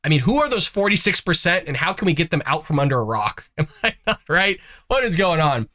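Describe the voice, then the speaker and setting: A man's voice: on the high side, big pitch swings, a little fast, sharp tone. A man hosting a political commentary show, talking casually with folksy, sarcastic asides while congested from a cough. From United States. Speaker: host of a conservative news show.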